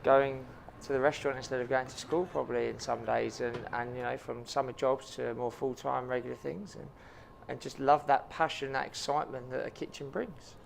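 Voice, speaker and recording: A man's voice: medium pitch, fairly even intonation, brisk, creaky, hardly any pauses, a little hiss or hum. A man, a chef and restaurant owner answering interview questions earnestly, with frequent ums and repeated words.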